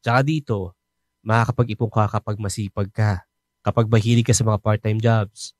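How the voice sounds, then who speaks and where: An actor playing a talkative, helpful fellow overseas worker who jokes and reassures, in a radio drama. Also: male voice, lowish voice, steady tone, fairly quick, darkish tone, no room sound, studio quality, close to an everyday voice. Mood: friendly. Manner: chatty, joking, reassuring. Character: chatty, helpful coworker who knows everyone.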